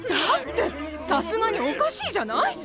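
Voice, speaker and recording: Shrill, very high, indignant townswoman. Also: wildly swooping pitch, fast, heavily acted. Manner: shrill, agitated, complaining. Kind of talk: anime voice drama acting. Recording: noisy crowd and loud music behind.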